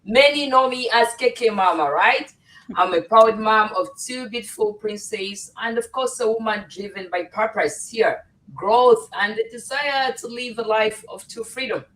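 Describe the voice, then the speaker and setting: A woman's voice: on the high side, unhurried, fairly bright tone. A middle-aged woman hosting a casual livestream panel, welcoming and with frequent fillers. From Uganda. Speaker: host. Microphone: video call. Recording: distant from the mic.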